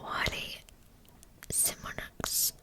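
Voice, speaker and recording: Slow whispering woman, ASMR narrator. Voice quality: unvoiced, breathy whisper. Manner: slow, hushed, deliberate storytelling. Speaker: ASMR true-crime narrator.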